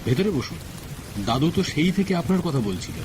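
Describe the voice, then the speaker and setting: A man's voice: fairly high pitch, big pitch swings, a little fast, noisy background. A man playing a friendly, placating young grandson in a horror cartoon. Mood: welcoming. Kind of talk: Bengali horror cartoon voice acting. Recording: distant, with loud rain and thunder.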